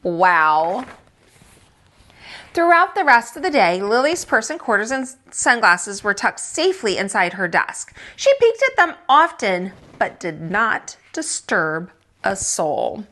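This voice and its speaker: A woman with a fairly high voice reads a storybook to children as a teacher. Her pitch swings widely as she narrates, stressing words dramatically and adding asides to her listeners. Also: sing-song, a little slow, bright, forceful tone. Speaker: teacher reading a picture book aloud.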